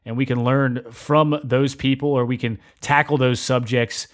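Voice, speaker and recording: A man's voice: mid-range voice, steady tone, moderate pace. A man hosting a podcast in an exuberant, playful, informal way with plenty of slang. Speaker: podcast host. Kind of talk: upbeat podcast hosting and interview.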